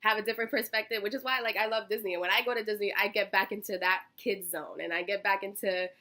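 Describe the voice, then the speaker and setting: Young American woman, early twenties, bright forward voice, effusive and chatty, as an eager interview candidate. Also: medium pitch, steady tone, fast talker. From Miami, Florida, United States. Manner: bubbly, effusive, giggly, chatty. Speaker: job interview candidate, college student. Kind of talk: casual phone interview answers.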